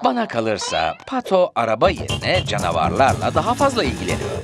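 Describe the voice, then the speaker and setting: A man playing a friendly, excitable narrator of a preschool cartoon, expressive and speaking directly to children and characters. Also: medium pitch, brisk. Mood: playful, inviting. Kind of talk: children's cartoon narration addressing young viewers. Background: music.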